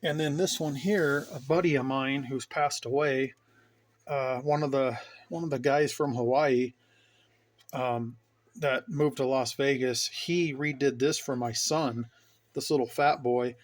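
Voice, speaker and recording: Casting: middle-aged male hobby vlogger, mid-pitched, informal, rambling show-and-tell delivery. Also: fairly even intonation, measured pace. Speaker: hobbyist vlogger showing his radio gear. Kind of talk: casual show-and-tell vlog.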